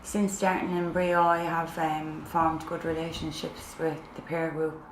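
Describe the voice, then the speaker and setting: Low flat woman with a Cork accent. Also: unhurried. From Cork, Ireland. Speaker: peer trainee. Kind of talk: recorded testimony. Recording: echoey room with faint noise.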